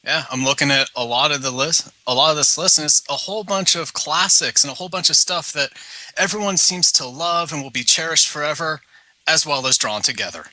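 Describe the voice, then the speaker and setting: A man's voice: a little high, fairly quick, pushed and bright, no room sound, low-quality recording. A man chatting as a guest on a casual podcast, an enthusiastic fan who jokes as he goes.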